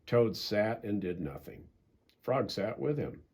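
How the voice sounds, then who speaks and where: A man's voice: lowish voice, very animated, slow, darkish tone, slightly rough. An older man with an American accent, a pastor reading a children's storybook aloud and chatting casually.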